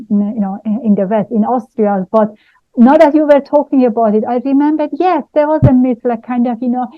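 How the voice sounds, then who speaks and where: A woman's voice: high-pitched, no echo. A woman from Iran hosting an interview, speaking hesitantly and searching for her words over a video call. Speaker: interview host. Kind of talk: conversational interview questions. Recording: thin phone-like dry audio.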